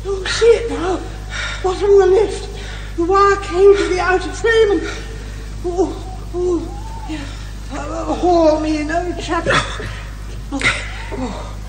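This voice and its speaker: An actress playing a brisk, commanding older British lady detective in a theatrical radio detective comedy. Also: very high voice, background noise. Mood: urgent, dramatic. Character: bossy, eager older lady amateur detective, a retired major.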